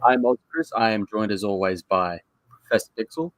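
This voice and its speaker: A man's voice: fairly low pitch, expressive, normal speed, no echo. A man hosting a live stream in casual chat, with a joking, wry way of talking. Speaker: host of a weekly fan live stream.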